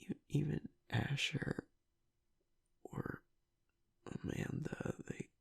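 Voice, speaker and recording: A man playing a tough young werewolf pack alpha broken by grief in an intimate audio roleplay, halting and choked up, with a breathy, raspy voice. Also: on the high side, fairly even intonation, full character voice. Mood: burdened, strained. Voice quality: breathy, raspy whisper with gravelly breaks. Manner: halting, fragmented, swearing under strain. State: choked up, grieving.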